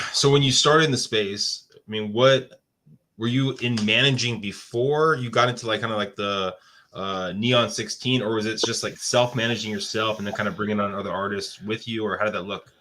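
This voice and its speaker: Bright-toned hesitant podcast host. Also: male voice, lowish voice, steady tone, moderate pace, slight background noise. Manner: hesitant, rambling questions. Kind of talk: interview questions.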